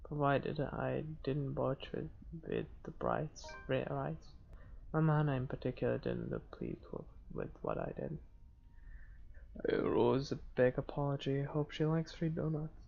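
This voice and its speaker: A man with a heavily gravelly, creaking voice reads story narration from a visual novel as a gaming host. His reading is halting and stumbles at times, on a dull lo-fi microphone with faint noise. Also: medium pitch, huge pitch swings.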